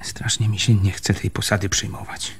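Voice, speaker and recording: Tired proud surveyor in a radio drama, lowish croaky husky voice, reluctant. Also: male voice, level tone, normal speed, heavily acted. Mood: reluctant, resentful. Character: weary, proud land surveyor, reluctant and resentful man. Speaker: actor in a radio play. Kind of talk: radio theatre acting, understated.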